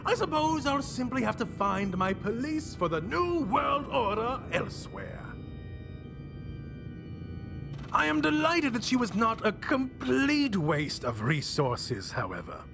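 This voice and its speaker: An actor playing a flamboyant, ancient mastermind villain in a video game, pompous, grandiose and mock-polite with theatrical delivery. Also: male voice, extremely high pitch, very animated, a little slow, vocal fry, hardly any pauses, music in the background, heavily acted.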